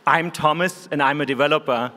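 A man in his forties with a German accent, giving an earnest, measured keynote speech from a conference stage podium microphone. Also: on the high side, bright, forceful tone. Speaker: keynote speaker. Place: large conference hall stage. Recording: hall reverb.

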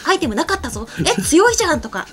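A woman's voice: very high-pitched, big pitch swings, rapid-fire. A woman co-hosting a show, talking in a polite, conversational way from a small studio at a desk microphone. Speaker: show co-host. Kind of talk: casual show chat and scripted announcements. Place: small indoor studio set. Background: background music.

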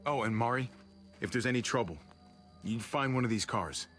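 Actor as a steady, protective survivor uncle. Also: male voice, mid-range voice, normal speed, close to an everyday voice. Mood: serious, caring. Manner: calm, reassuring. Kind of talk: video game voice acting. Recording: faint music underneath.

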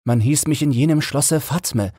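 A man's voice: fairly high pitch, a little slow, dark tone, breathy edge, no echo, studio quality, pitched above the performer's own voice. A man voicing a grateful, earnest young slave woman in a fairy tale. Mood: amazed.

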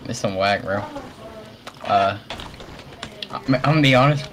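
A man's voice: medium pitch, unhurried, noisy background. A teenage boy hosting a casual gameplay video, rambling and self-deprecating, laughing often. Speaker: gaming channel host.

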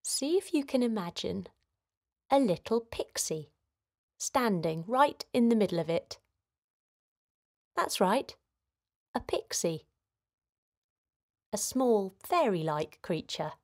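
A woman leading a guided relaxation for children in a gentle, soothing manner, giving simple instructions with pauses. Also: medium pitch, big pitch swings. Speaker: children's guided meditation host.

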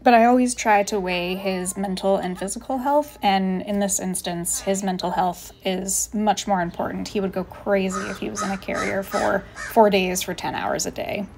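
A woman in her thirties narrates a travel vlog in a relaxed, chatty tone and laughs lightly as she goes. Her voice sits at a middle pitch, with a faint hiss underneath. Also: normal speed, creaky, few pauses. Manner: easygoing, chatty, laughs between phrases. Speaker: veterinarian and channel host.

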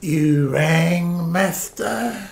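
A slow, gravelly servile manservant. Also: male voice, fairly high pitch, full character voice. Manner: slow, deferential, ponderous. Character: slow, servile undead manservant Igor to vampires. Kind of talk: old-time radio play acting. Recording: roomy echo, faint noise.

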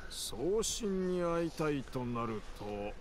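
An actor playing a worldly, nonchalant mercenary in a Japanese video game, casual and rough-spoken as he explains things. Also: fairly high pitch, big pitch swings, takes it slowly. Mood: welcoming, frank. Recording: game soundtrack music behind voice.

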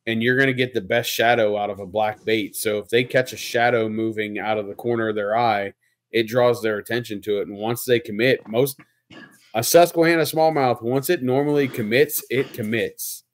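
Animated storytelling man on a video call. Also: medium pitch, moderate pace, dry recording. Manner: animated storyteller, hedges his opinions. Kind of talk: casual storytelling chat.

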